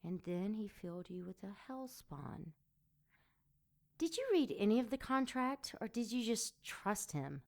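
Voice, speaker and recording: A woman playing a sardonic, seductive succubus demon in an audio drama, sultry and mocking in a deliberate way. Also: mid-range voice, big pitch swings.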